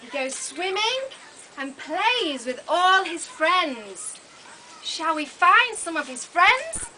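A woman's voice: very high voice, very animated, slow pace, sharp tone. A woman narrating a puppet show to young children with exaggerated, simple, questioning phrases. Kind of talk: animated storytelling to young children. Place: open-sided thatched hall, on a small stage. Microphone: camera some metres away. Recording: noisy open-hall recording, camera at a distance.

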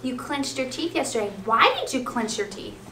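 A woman teaching young children in an interactive read-aloud, animated and often prompting them with questions and check-ins. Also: high-pitched, moderate pace. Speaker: elementary school teacher. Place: school classroom, children seated on the floor. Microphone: distant camera. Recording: roomy, distant, faint classroom noise.

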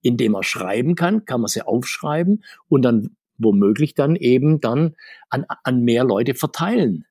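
A middle-aged man answering interview questions, rambling and restarting his sentences, with sudden lifts in pitch. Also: animated, measured pace, warm tone, breathy edge, dry recording, clean studio sound. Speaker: comics author and guest.

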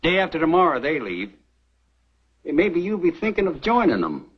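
An actor playing a gruff, sly middle-aged frontier sheriff who talks in a taunting drawl. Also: male voice, fairly high pitch, sing-song, normal speed. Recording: dull old TV soundtrack with faint hiss.

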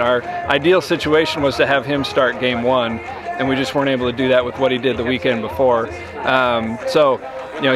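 Brief: thirties man, baseball coach, measured postgame answers, music behind him. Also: medium pitch. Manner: measured, many you-knows and restarts. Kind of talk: postgame interview. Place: outdoors on a ballfield at night.

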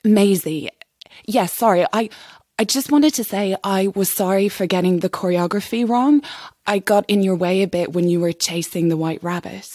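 A woman's voice: mid-range voice, moderate pace, a little mellow, dry recording, studio quality, natural voice. A woman playing a timid, eager, slightly unsettling young chorus dancer in an audio drama, hesitant and apologetic. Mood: nervous, apologetic.

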